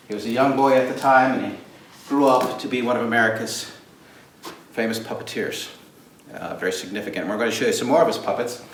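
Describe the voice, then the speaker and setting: Guy doing a casual show and tell tour, theatrical and really expressive, echoey room w some faint noise. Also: medium pitch. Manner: animated, theatrical showman phrasing with emphatic repeats. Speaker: puppeteer and tour host at a puppet theater. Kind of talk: informal show-and-tell guided tour, unscripted. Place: indoors, backstage and storage rooms of a puppet theater. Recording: echoey, distant mic with background noise.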